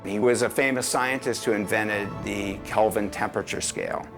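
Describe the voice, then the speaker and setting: A man's voice: lowish voice, fairly even intonation, moderate pace, over music. A man from the filmmaking team explaining the background of a character in a plain, matter-of-fact way. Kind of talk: interview explanation.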